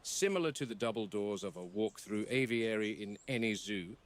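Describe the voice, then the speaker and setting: An actor playing a serious game warden who narrates a formal, objective log in a found-footage horror film. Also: male voice, fairly low pitch, lively intonation, normal speed, close to an everyday voice. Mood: measured, grave. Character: measured, serious male game warden documenting dangerous predators.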